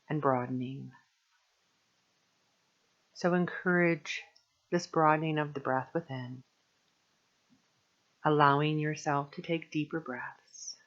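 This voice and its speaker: A woman guiding a meditation as a teacher, speaking gently and soothingly in measured phrases with long pauses. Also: low voice, dull audio. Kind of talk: guided meditation narration.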